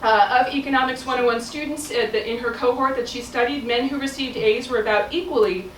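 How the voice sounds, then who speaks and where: Middle-aged woman, talk with no mic, loose and chatty delivery, somewhat high voice, roomy hall picked up from afar. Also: fairly even intonation, brisk, a little hiss or hum. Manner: conversational with frequent fillers. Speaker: speaker. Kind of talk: public talk. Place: auditorium. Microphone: no mic, room pickup from afar. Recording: echoey hall from far off.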